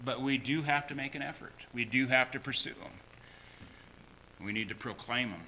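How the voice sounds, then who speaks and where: A man's voice: medium pitch, normal speed, sharp tone, slight background noise, rough recording. A man preaching a sermon as a pastor, emphatically repeating short phrases.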